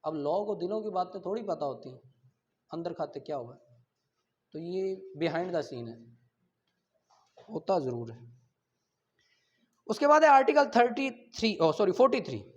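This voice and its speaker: A man's voice: high-pitched, big pitch swings, unhurried, pauses often. A man with a Pakistani accent teaching law as a lecturer, explaining points didactically to students. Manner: didactic, checking students understand, mixing Urdu explanation with English legal terms. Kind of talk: classroom lecture, reading articles aloud then explaining. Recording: dull, lo-fi lecture recording.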